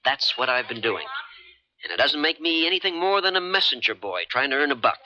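An actor playing a cynical, cash-strapped American charter boat skipper in a 1970s radio drama, wry and offhand. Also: male voice, medium pitch, big pitch swings, brisk, pushed and bright. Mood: defensive. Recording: dull 1970s radio broadcast recording.

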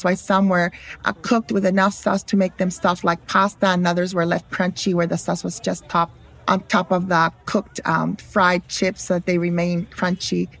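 A middle-aged or older woman from Guatemala, speaking as an interview guest and telling stories about her life and work. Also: medium pitch. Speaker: guest, food historian and cookbook author. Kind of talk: interview storytelling.

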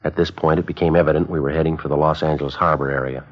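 An actor playing a cool American undercover police detective in 1950s radio drama, with a clipped, understated manner. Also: male voice, very deep voice, fairly even intonation, racing, slight background noise. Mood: matter-of-fact. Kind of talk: 1950s radio drama acting and terse narration. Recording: dull 1950s radio recording.

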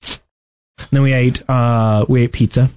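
A man's voice: mid-range voice, fairly even intonation, slow, darkish tone, clear, resonant tone. A man in his forties hosting a podcast in casual chat, joking and rambling through his stories. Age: 40s. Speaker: podcast host.

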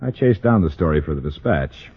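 A breezy, cynical American newspaperman in a 1950s radio drama. His voice is low and husky, with slangy, dismissive delivery. The vintage recording is narrow and phone-like. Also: animated, brisk, exaggerated voice. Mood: scornful.

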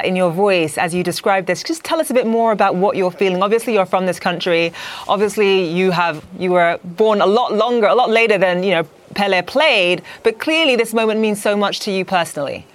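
A woman anchoring a business news show, speaking in a brisk, polished way. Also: medium pitch, expressive.

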